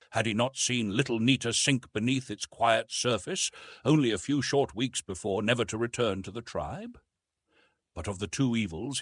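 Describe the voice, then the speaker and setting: Expressive male storyteller with a slightly rough voice. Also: lowish voice, no echo. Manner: expressive, measured literary reading. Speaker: audiobook narrator. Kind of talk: dramatic scripted narration of a classic adventure novel.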